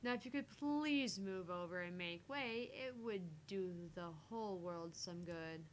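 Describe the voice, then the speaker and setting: Sardonic visual novel heroine read by a woman, irritated and clipped, mid-pitched, faint rumble behind. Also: lively intonation, measured pace, lightly acted. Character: irritated, sharp-tongued professional woman heroine, sarcastically polite. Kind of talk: reading visual novel dialogue and inner thoughts.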